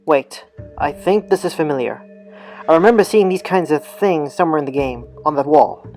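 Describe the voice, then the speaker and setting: A man playing a calm, thoughtful senior police investigator in an amateur audio drama. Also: fairly high pitch, normal speed, vocal fry, soft background music. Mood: thoughtful, measured.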